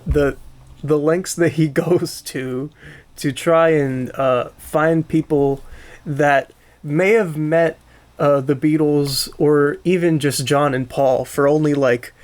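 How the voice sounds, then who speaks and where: A man hosting a casual podcast conversation, talking haltingly and circling back to rephrase with keen interest. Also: medium pitch. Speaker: podcast host.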